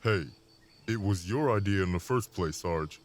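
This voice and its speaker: A man's voice: deep voice, expressive, moderate pace. A man playing an informal marine soldier who questions his sergeant in an audio drama. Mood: teasing.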